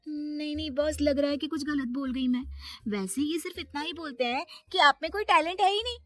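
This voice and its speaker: A woman's voice: high-pitched, brisk, pitched above the performer's own voice, lightly acted. A woman voicing a naive, meek and painfully honest young wife in a cartoon family story. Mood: apologetic, earnest.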